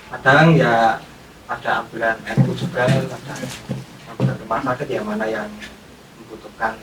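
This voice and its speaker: A man's voice: mid-range voice, fairly even intonation, moderate pace. A man, chatting casually as a podcast guest. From Indonesia. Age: 20s. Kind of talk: casual interview chat. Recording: echoey, distant, noticeable background noise.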